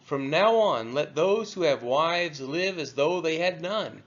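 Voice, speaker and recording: Expressive man reading scripture, dull audio. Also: fairly high pitch, measured pace. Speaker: scripture reader. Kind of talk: scripted read-aloud of scripture.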